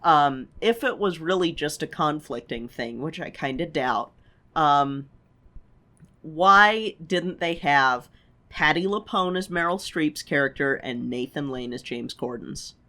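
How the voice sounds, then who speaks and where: A woman chats casually as a comedy podcast co-host. She speaks at a slow pace with very lively, swinging intonation. Her manner is playful and blunt. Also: low-pitched.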